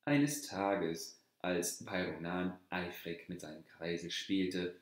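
A man reading a fairy tale aloud in calm, measured storybook narration that sinks lower in sad passages. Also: reverberant.

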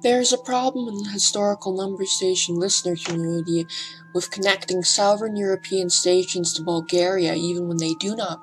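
A woman reading scripted documentary narration in a careful, slightly hesitant and breathy way. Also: lowish voice, poor audio. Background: music.

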